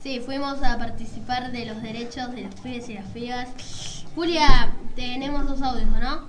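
A woman's voice: a little high, fairly even intonation, moderate pace, background noise. A woman hosting a live, chatty community radio show. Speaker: radio host.

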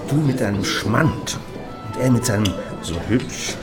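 An actor playing a disapproving, nagging husband and country pastor in a period radio drama, curt and reproving. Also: male voice, medium pitch, measured pace, lightly acted. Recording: studio drama with music behind.

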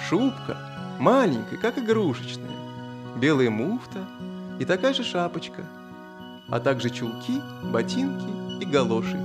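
High, lively male children's story narrator. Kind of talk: expressive scripted storytelling for children.

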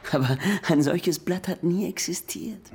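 An actor playing an eager junior detective and sidekick in a German radio crime drama, informal and questioning. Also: male voice, high-pitched, measured pace, gravelly, darkish tone, breathy edge, full character voice. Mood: puzzled.

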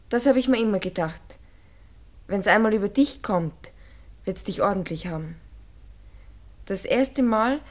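An actress playing a worldly-wise, bossy young Viennese shop girl in a radio drama, chatty and teasing. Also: fairly high pitch. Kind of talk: 1960s German radio drama acting.

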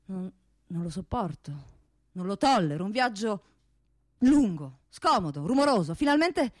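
A woman's voice: medium pitch, big pitch swings, measured pace, no echo, studio quality. A woman playing a vain, seductive female pistol, a self-important world traveller in a theatrical monologue that slips into English. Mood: indignant.

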